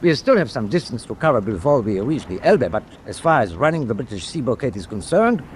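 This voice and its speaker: A man acts out a German tanker captain in a wartime radio play. He is formal and sure of himself, with lively shifts of pitch and authority in every phrase. The recording carries faint background noise. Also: brisk, close to an everyday voice. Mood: measured, satisfied. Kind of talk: radio drama acting.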